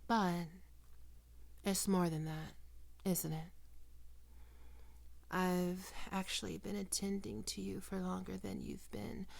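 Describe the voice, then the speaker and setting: A woman voicing a possessive, predatory vampire doctor in an ASMR roleplay, breathy and often whispering, coaxing her prey with condescending, sensual words. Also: fairly low pitch, flat delivery, slow pace, pauses often, darkish tone, heavily acted. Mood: fond, sinister. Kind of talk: ASMR roleplay, intimate and slow.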